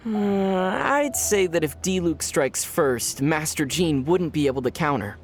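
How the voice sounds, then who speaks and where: An actor playing a calm young male traveler hero in a video game who answers in a dry, deadpan way. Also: fairly high pitch, measured pace, faint music behind. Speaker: player character. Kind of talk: video game character banter.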